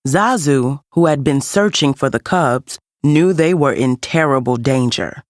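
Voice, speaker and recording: A man reading a children's story as narrator, in a deliberate and expressive scripted style. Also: medium pitch, dry recording, studio quality.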